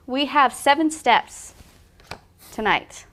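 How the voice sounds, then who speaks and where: A woman's voice: high-pitched, lively intonation, drawn-out words, a bit bright. A woman with a slight cold hosting a live painting tutorial, chatty and laughing often, with frequent fillers. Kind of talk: casual live-stream tutorial talk. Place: art studio.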